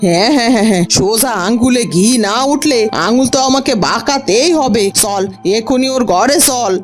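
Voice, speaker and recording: A woman's voice: on the high side, moderate pace, over music, natural voice. A woman playing a greedy, bullying middle-aged village aunt in a children's fairy-tale cartoon, exclaiming, wheedling and threatening. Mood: scheming, demanding.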